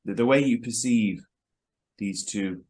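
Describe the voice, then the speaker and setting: A man's voice: fairly low pitch, measured pace, a little mellow. A man hosting a casual interview, asking questions with a hesitant, friendly manner and frequent fillers. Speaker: host. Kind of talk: casual interview over video call.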